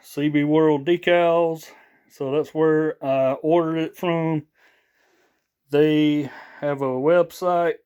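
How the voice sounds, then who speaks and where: Slow-talking man with a clear voice. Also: a little high, fairly even intonation, dark tone. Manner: rambling, halting, repeats himself. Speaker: vlogger showing radio gear. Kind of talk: casual product showcase.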